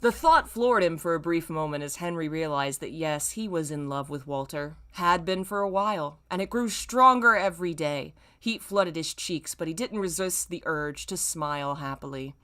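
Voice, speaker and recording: A woman's voice: deep voice, normal speed, hardly any pauses, fairly bright tone. A young adult woman hosting a read-aloud of fan fiction, delivered theatrically with playful, exasperated casual asides. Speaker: host reading old fan fiction aloud with commentary.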